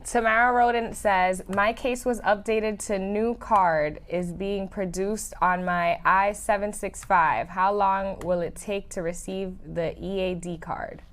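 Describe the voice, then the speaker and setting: A woman co-hosting a legal show, reading viewer questions aloud in a careful, steady way. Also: mid-range voice, a little slow, few pauses.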